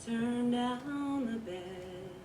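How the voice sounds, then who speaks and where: A woman singing a slow ballad unaccompanied, heard from a video played back in a room. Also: on the high side, roomy sound, music in the background.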